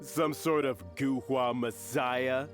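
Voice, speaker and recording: A man's voice: medium pitch, fairly even intonation, slow pace, lightly acted. An actor playing a menacing, mocking henchman in a video game, with a drawling, threatening delivery. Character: sneering menacing male loan-shark henchman, mocking bully. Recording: music under the voice.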